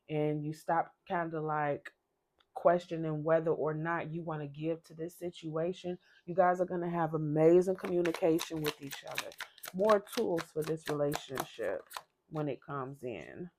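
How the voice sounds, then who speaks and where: Low-voiced woman reading tarot. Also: a little hiss or hum. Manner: chatty, rambling, repeats and restarts. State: sick, losing her voice. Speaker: tarot reader. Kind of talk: casual tarot reading to camera.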